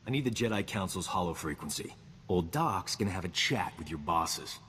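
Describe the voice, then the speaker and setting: An actor playing a boastful, smooth-talking combat medic in a video game, joking and flattering himself. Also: male voice, low-pitched, moderate pace, big-room sound, a little hiss or hum. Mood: cocky. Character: cocky boastful man, a frontier combat medic. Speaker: companion character in a video game. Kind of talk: video game character dialogue.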